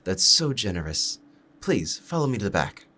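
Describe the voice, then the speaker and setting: A man plays a courteous male storekeeper in an audio roleplay. His voice rises and falls in lively swings, formal and apologetic as he addresses the listener, with some noise in the recording. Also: fairly low pitch, moderate pace. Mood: apologetic, grateful. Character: polite, deferential male storekeeper, an assassin's disguise.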